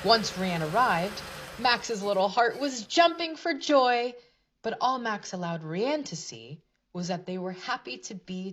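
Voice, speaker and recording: A woman's voice: fairly low pitch, fairly bright tone, slight background noise. A woman narrating a scripted storybook tale for a puppet show in a dramatic fairy-tale manner.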